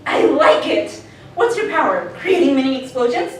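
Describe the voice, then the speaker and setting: A woman acts out a high-voiced, expressive schoolteacher, sweetly patronizing and quick to exasperation. Her pitch swoops in comic fashion. The sound is distant, in a big echoey hall. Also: slight background noise. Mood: encouraging, brisk. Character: bubbly but exasperated young superhero schoolteacher. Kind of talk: live scripted comedy table read.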